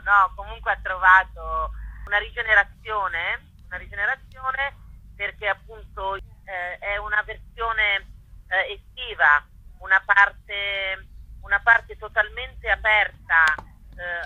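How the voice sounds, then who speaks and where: Dry muffled phone call, woman w really tense sharp voice doing a radio interview. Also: mid-range voice, dry recording. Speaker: author and actress, interview guest. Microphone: telephone. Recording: dull, dry telephone line.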